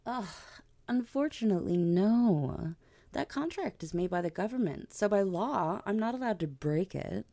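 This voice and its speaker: A woman's voice: medium pitch, lively intonation, normal speed, warm tone, no room sound, natural voice. A woman playing a haughty, powerful vampire queen in an ASMR audio drama, with a languid, condescending, sardonic manner. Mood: matter-of-fact, sly. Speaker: voice actor in an audio roleplay.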